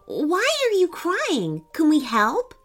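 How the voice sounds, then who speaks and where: A woman's voice: high voice, wildly swooping pitch, takes it slowly, a bit bright, pitched above the performer's own voice, full character voice. A woman voicing a kind, curious young child in a storybook, careful and sympathetic.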